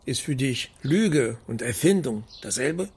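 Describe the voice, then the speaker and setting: An actor playing a wry, evasive private detective in a radio drama, husky, dry and offhand in a naturalistic style. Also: male voice, mid-range voice, lively intonation, unhurried, darkish tone. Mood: sheepish, wry.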